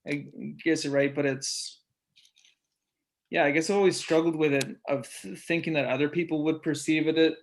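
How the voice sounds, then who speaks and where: A man who stutters, often repeating words and breaking off sounds, as a guest in a relaxed interview conversation. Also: a little high, moderate pace, slight background noise. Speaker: podcast guest, military college music director.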